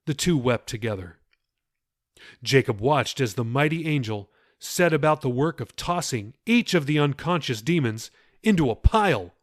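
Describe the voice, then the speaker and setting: A man's voice: medium pitch, dry recording, studio quality. A man reading his own novel aloud as an audiobook, with dramatic shifts in pace. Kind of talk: audiobook narration.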